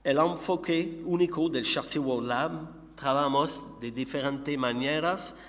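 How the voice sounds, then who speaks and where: A man's voice: mid-range voice, a bit bright. Quebec French-accented man presenting in an echoey hall. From Montreal, Canada. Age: middle-aged. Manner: halting, searching for words in a second language. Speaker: conference speaker, company founder and researcher. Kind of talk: conference presentation. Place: conference hall. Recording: echoey hall with long reverb, background hum.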